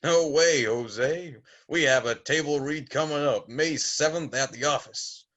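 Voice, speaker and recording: A man's voice: a little high, measured pace, fairly bright tone. Larger-than-life American producer played by a man. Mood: persuasive. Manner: chummy, breezy, full of slang. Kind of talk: amateur script table read.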